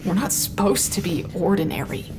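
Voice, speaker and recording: A woman's voice: fairly low pitch, lively intonation, brisk, over music. An actress plays a grieving woman at a secret facility. She is bitter, evasive and disbelieving, speaking in a blunt, conversational way in an audio drama. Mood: bitter, searching.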